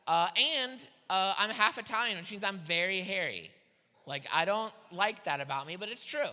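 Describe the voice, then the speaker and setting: High-voiced comedian in a reverberant church hall. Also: male voice, normal speed. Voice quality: high voice for a heavy man. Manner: self-deprecating, animated storytelling. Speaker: stand-up comedian. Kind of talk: stand-up comedy set. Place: church hall with live audience.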